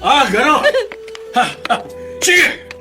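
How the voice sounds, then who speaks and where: Stern elderly Filipino grandparent, animated. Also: sits high, takes it slowly, heavily acted. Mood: stern, defiant. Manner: stern, emotional, scolding. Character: stubborn, wounded elderly grandparent guarding a grandchild. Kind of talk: melodramatic Filipino radio drama acting. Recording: loud dramatic music underneath.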